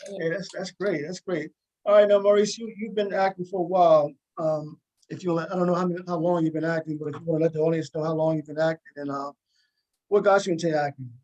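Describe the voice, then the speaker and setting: A man hosting a casual interview in a halting way, often repeating his words. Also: fairly high pitch, fairly even intonation, a little mellow, poor audio. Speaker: interview host.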